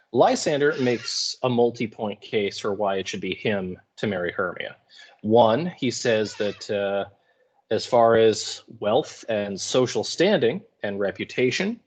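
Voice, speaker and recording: A man teaching in a casual lecture style, with informal, wry and colloquial paraphrasing. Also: on the low side. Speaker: high school English teacher.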